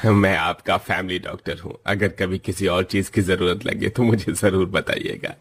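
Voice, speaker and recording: Male narrator as a family doctor, low voice, grave, calm, deliberate delivery, moral storybook. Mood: grave, measured. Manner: measured, deliberate.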